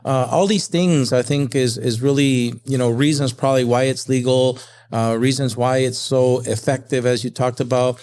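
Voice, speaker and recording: A man's voice: mid-range voice, steady tone, moderate pace. A man from Peru hosting a podcast, asking questions with frequent fillers and reactions. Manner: warm interviewer, many "you know" and "uh" fillers. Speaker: podcast host. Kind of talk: interviewing a guest.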